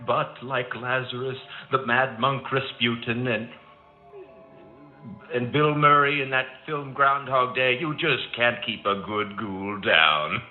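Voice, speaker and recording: A man's voice: medium pitch, lightly acted. A man playing a gleefully macabre, pompous announcer in a theatrical horror radio drama. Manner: pompous, drawn-out theatrical delivery. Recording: thin phone-like old-radio sound over music.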